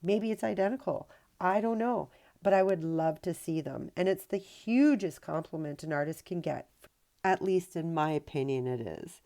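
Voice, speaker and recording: A woman host narrating a painting tutorial in a chatty, relaxed way, her voice nasal from a cold and full of ums and uhs. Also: lowish voice, moderate pace. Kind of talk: casual voice-over tutorial narration.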